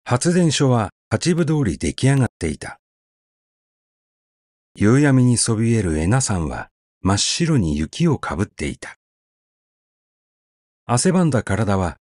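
A man's voice: mid-range voice, very animated, measured pace, long pauses, darkish tone, dry recording, studio quality. A man narrating a short story as a scripted audiobook reading, telling it expressively. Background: faint background music.

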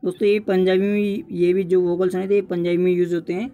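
A man's voice: high voice, little pitch movement, quick pace, mellow. A young man narrating a casual tutorial, repeatedly urging and addressing his viewers as friends. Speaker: tutorial YouTuber.

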